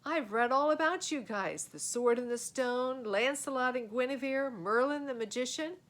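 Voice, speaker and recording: A woman voicing an eager, chatty boy hero in a storybook adventure, casual and excited. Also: high-pitched, moderate pace, close to an everyday voice.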